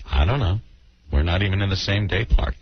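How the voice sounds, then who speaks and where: Deep-ish male radio co-host, lo-fi. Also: animated, brisk, slightly rough. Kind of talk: casual talk radio banter. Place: radio studio. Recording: dull, faintly noisy radio tape.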